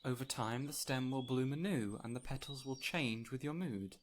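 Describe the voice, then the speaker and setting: Birdsong and forest ambience surround a fey spirit acted by a man in an intimate audio roleplay. He is a gentle, whimsical fellow of medium pitch, joyful yet wistful. His phrasing is courteous, old-fashioned and theatrical, addressed directly to the listener. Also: normal speed, lightly acted. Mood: gently explaining. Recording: clear ambient noise with birdsong.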